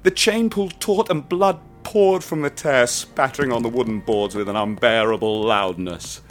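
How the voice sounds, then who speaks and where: A man narrating a first-person horror radio play, hushed and intense. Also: medium pitch, normal speed. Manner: hushed and intense, rising to ecstatic. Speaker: narrator and protagonist.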